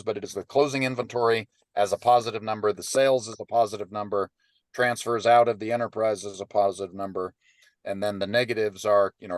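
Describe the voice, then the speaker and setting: Low-voiced man teaching a webinar. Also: no echo. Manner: informal, restarts and "right?" checks with listeners. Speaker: webinar presenter and ranch business advisor. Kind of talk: teaching webinar, conversational explanation. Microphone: computer microphone on an online webinar call.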